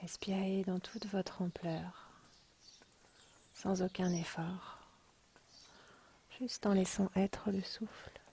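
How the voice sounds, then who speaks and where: A woman guiding a relaxation meditation in a hushed, breathy, half-whispered, soothing voice, as a yoga nidra teacher. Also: on the low side, a little hiss or hum. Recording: rain and birdsong behind the voice. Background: rain and birds.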